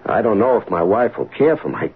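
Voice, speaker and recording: A man's voice: lowish voice, sing-song, fairly quick, phone-quality sound. An actor playing a folksy, fatherly police detective in his fifties, hesitant and conversational, in an old-time radio drama. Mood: gentle, hesitant. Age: 50s. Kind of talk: old-time radio drama acting with first-person narration. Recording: band-limited, hissy old radio recording.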